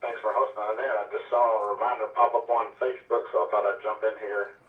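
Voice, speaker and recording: Low-voiced man on a tinny radio link. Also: little pitch movement, fast. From United States. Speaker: new amateur radio operator calling in. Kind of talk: casual radio check-in. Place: over a linked ham radio connection. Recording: tinny phone-like radio link, echoey, distant.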